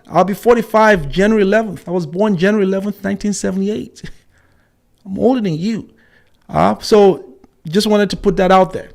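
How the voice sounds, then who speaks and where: High pitched guy in his 40s on his live show, combative, keeps repeating himself, says um a lot. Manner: combative, repeats himself for emphasis, frequent fillers. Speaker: channel host. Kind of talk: live-stream commentary.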